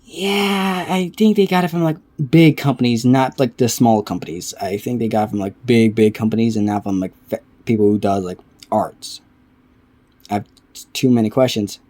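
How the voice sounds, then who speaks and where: A man giving casual reaction commentary, reading aloud haltingly and stumbling over words between offhand remarks. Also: mid-range voice, dark tone. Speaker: reaction video creator.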